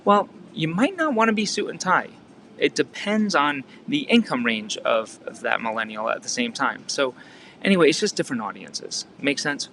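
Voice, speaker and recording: Bright-voiced Massachusetts man coaching. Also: fairly high pitch, very animated, normal speed, slight background noise. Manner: conversational, asks the listener frequent rhetorical questions; frequent fillers and restarts. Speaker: real estate coach. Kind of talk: casual teaching talk.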